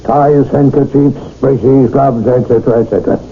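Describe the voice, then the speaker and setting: A man's voice: mid-range voice, fairly even intonation, close to an everyday voice. An actor playing a stern, middle-aged lawman in a 1970s radio drama, formal and official in manner. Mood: brisk, official. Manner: formal, measured, dry officialese. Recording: tinny old radio broadcast with music.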